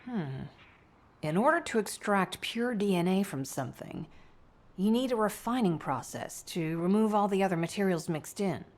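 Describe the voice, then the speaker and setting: A woman playing a caring, protective and stern soldier-scientist in a video game, speaking in a measured, formal way. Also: medium pitch, lively intonation, close to an everyday voice. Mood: explaining.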